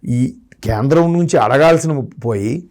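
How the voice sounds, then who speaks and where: A man's voice: mid-range voice, measured pace, a little mellow. A man giving political commentary in a discussion, arguing his points through rhetorical questions. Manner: argumentative, asks rhetorical questions.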